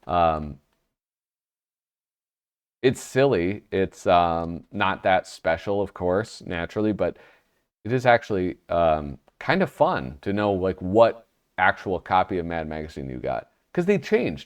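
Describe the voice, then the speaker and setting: A man's voice: deep voice, very animated, measured pace, clear, resonant tone. A man hosting his own magazine review channel, chatty and self-deprecating, sounding nasal from a cold. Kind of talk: casual solo review vlog.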